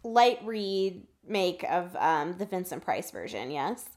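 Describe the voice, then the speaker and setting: A woman's voice: lowish voice, sing-song, takes it slowly, a little mellow. A woman co-hosting a podcast, chatting casually and informally about films, with some offhand swearing. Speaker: podcast co-host. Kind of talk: casual film discussion podcast chat.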